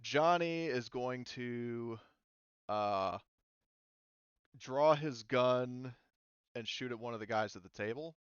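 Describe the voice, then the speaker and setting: Smooth-voiced man, hesitant game master. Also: mid-range voice, slow, pauses often, no echo, dull audio. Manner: hesitant, thinking aloud. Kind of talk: casual tabletop game narration and rules talk.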